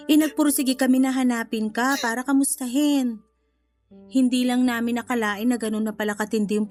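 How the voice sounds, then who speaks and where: An actress playing a compassionate young niece in a radio drama, consoling and forgiving in an earnest, respectful way. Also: female voice, on the high side, darkish tone, close to an everyday voice. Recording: music bed under the voice.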